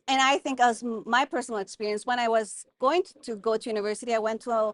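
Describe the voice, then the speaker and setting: Earnest woman, slightly high voice. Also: fairly even intonation, fast. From Guatemala. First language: German. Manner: thoughtful, earnest, many fillers and restarts. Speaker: guest, mining consultant. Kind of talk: conversational interview.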